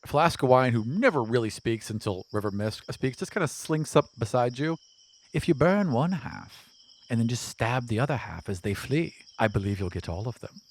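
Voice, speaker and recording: A man's voice: mid-range voice, animated, normal speed. A man narrating a tabletop game as game master in a descriptive, conversational way, with night crickets behind him. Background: crickets and night insect ambience.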